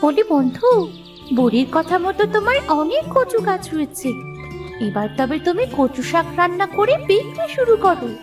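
Squeaky, chirpy little parrot. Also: female voice, sing-song, moderate pace, distant mic, over music. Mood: eager, encouraging. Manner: squeaky, eager cartoon bird talk. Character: chirpy friendly green pet parrot.

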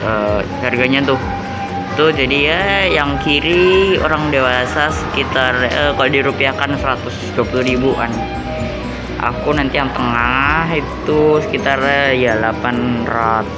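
A young man casually vlogging as he walks through a large indoor buffet restaurant on a handheld camera, chatting excitedly to his viewers. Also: medium pitch, measured pace, loud music behind the voice, rough recording. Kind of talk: casual walk-through vlog commentary.

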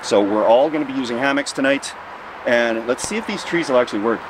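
A man's voice: medium pitch, animated, fast talker. A man in his forties vlogging casually outdoors in a damp forest, chatty and self-deprecating as he thinks aloud. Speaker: camping vlogger. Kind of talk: casual outdoor vlog narration. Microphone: camera mic, handheld close and filmed from a distance. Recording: outdoor recording with clear background noise.

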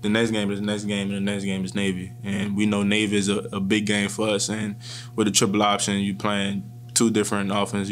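A young man in his twenties, a college football player, answering post-game questions in a press room calmly and with frequent restarts, speaking into table microphones. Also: fairly low pitch, slight background noise. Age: 20s. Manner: calm and measured, with frequent restarts. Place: press room in front of a sponsor backdrop. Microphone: table microphones in front of him.

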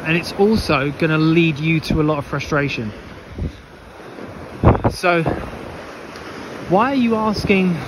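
Male running coach vlogging outdoors, slightly high voice, emphatic and repetitive delivery, clear wind noise on the mic. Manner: emphatic, repeats phrases, asks rhetorical questions. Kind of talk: opinionated off-the-cuff advice monologue to camera. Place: outdoors on a beach walk. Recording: windy, noisy outdoor mic, rumbling background. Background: wind on the microphone, ambient noise.

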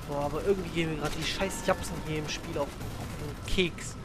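A young man from Germany giving rambling, crude-slang commentary on his let's play, with loud outbursts. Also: on the high side, steady tone, fairly quick, heavy background noise. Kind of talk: casual gaming commentary. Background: game music and sounds.

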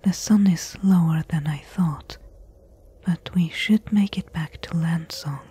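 A woman playing a bold, companionable desert treasure hunter in a soft-spoken ASMR roleplay, hushed and coaxing in a breathy whisper. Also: on the low side, measured pace. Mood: relieved.

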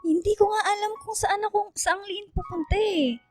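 Meek student girlfriend, high voice, Filipino accent. Plaintive, pouty radio drama delivery over music. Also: moderate pace. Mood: apologetic. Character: hurt young student girlfriend, meek but questioning.

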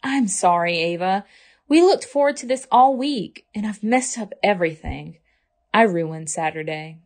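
A woman voicing a devoted storybook mother who reassures and frets, in emphatic, measured phrases. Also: mid-range voice, animated. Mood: dejected.

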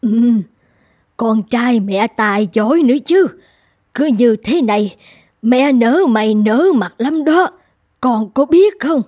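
A woman's voice: a little high, lively intonation, slow pace, darkish tone. A woman playing a scheming, snobbish middle-aged mother in a cartoon drama, speaking in an emphatic, admonishing way. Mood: proud, pleased. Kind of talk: dubbed cartoon drama acting. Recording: long reverb, music underneath.

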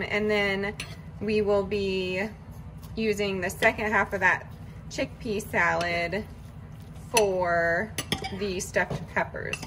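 Woman, casual cooking narration, relaxed, chatty, home kitchen, background noise. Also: medium pitch. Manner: chatty, relaxed, many fillers. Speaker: vlogger cooking meal prep.

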